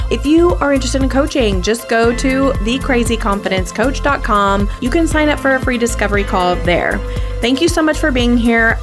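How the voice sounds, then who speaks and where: Upbeat woman signing off over music. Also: a little high. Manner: upbeat, warm sign-off. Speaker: podcast host. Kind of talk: scripted podcast outro.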